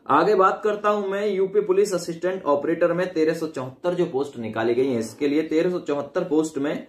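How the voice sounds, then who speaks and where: A man's voice: a little high, a little fast. A man, explaining to camera in an emphatic, conversational way, like an informal coaching teacher. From India. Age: 20s. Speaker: exam coaching teacher and presenter. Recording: echoey room sound.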